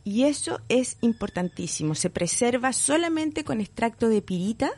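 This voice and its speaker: A woman's voice: fairly high pitch, lively intonation, moderate pace, a little mellow. A woman with a Chilean accent, speaking as a guest in a casual radio interview and explaining her products with enthusiasm and some laughter. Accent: Chilean Spanish. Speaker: guest entrepreneur.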